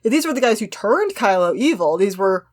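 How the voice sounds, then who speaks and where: A woman's voice: mid-range voice, very animated, a little fast. A woman co-hosting a casual discussion, reading a script aloud and commenting on it in an opinionated, argumentative way. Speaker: podcast co-host.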